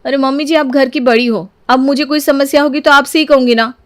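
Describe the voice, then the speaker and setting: An exasperated young Indian daughter-in-law from a cartoon family drama, put on by a woman. Her voice is on the high side and very dry. She complains dramatically, whiny and indignant. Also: fairly even intonation, brisk, dry recording, close to an everyday voice. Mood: aggrieved.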